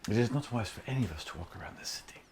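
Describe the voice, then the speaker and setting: A man voicing a wary companion in a tabletop fantasy game, speaking tersely and formally in a hushed tone. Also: medium pitch, expressive, very fast, slight background noise, close to an everyday voice. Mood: hushed, urgent. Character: wary companion laying out a hushed escape plan.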